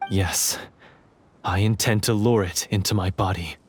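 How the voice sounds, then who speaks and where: A man's voice: low voice, steady tone, a little slow, slight background noise. A man playing a grave, earnest, self-sacrificing villager in a fantasy video game, speaking formally. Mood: resolute. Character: earnest, self-sacrificing male villager once possessed by evil.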